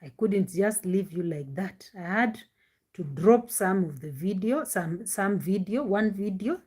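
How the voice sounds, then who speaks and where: A woman with a deep voice, talking loudly and with strain as she chats casually with viewers while hosting a live-stream reaction show on her device's built-in microphone. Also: expressive, unhurried, slightly rough. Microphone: no external microphone, device mic.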